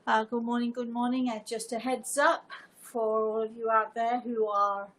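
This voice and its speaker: An older woman hosting a casual livestream, chatting to viewers in a deliberate way with emphatic repetition. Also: a little high, flat delivery, fairly bright tone. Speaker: live show host and storyteller. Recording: noticeable background noise.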